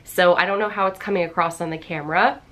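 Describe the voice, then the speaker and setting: A woman in her thirties vlogging casually from her home office, slightly hoarse after losing her voice, chatty and upbeat with lots of fillers. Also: on the low side, fairly even intonation, quick pace, a bit bright. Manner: chatty, upbeat, many fillers and little laughs. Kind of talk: casual vlog chat. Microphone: camera on the desk facing her.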